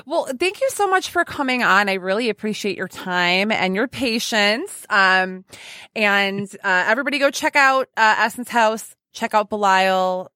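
A woman's voice: mid-range voice, lively intonation, moderate pace, bright, forceful tone, no echo. Giggly, enthusiastic woman podcast host. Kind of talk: casual interview chat.

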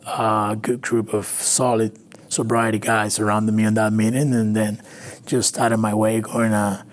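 A man from Venezuela, a native Spanish speaker with a Latin American accent, telling his recovery story haltingly in an interview. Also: on the low side, level tone. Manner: halting, with frequent restarts and fillers. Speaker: interview guest. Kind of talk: personal recovery story, conversational.

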